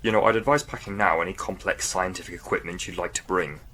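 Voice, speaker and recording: A man acting a composed, practical senior army officer in an audio drama. His voice is low but crisp-edged and forceful, over faint background noise. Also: fast. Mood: composed, advising.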